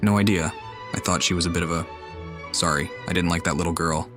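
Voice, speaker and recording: A man's voice: sits low, steady tone, a little fast. A man playing a game and commenting wryly, talking back at the characters. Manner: suspicious, wry, talks to the characters. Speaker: gamer. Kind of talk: let's play commentary. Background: game music.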